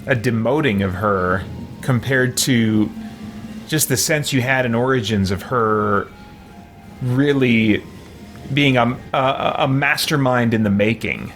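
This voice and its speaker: A man giving casual game commentary in a halting, reflective way. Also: medium pitch, a bit bright. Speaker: gamer, roleplay host. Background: faint game music.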